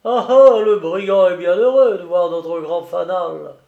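A male narrator acts out a jovial, drunken lighthouse keeper from a storybook. He is loud, boastful and coarse, bursting into exclamations at a raised pitch. The room rings slightly around him. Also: a little slow, creaky, roomy sound, phone-quality sound. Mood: tipsy, rowdy. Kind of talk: dramatised audiobook storytelling.

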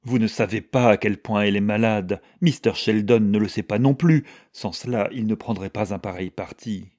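A man voicing a serious, indignant young woman with a pleading, forceful manner in an audiobook. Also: fairly low pitch, unhurried, no echo, clean studio sound, lightly acted. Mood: earnest pleading. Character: earnest, indignant, impatient young woman protecting her friend.

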